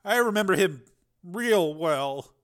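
A man's voice: sits high, lively intonation, measured pace, fairly bright tone, voice pushed up. A man voicing a polite, nervous, battered boy who halts and stumbles over his words in an audiobook. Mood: bitter, hesitant. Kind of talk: audiobook character voice.

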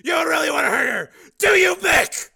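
A man doing a man shouting pleas across a hall. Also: very high-pitched, lively intonation, moderate pace, gravelly, pitched above the performer's own voice, heavily acted. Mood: pleading. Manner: shouting, pleading across a distance. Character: male ex-partner pleading to a gunman, steady.

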